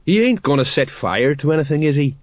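A man's voice: medium pitch, expressive, normal speed, husky, dry recording, dull audio. A man voicing an anxious, deferential middle-aged police sergeant who is hesitant and pleading, in a comic audiobook. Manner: hesitant, pleading, urgent, peppered with sir.

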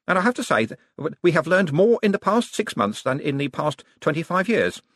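A man's voice: a little high, sing-song, a little fast, fairly bright tone, dry recording, studio quality, pitched above the performer's own voice. A man voicing a friendly, flustered young wizard scholar in an audiobook, modest but bookish, hesitating and stammering with many ums.

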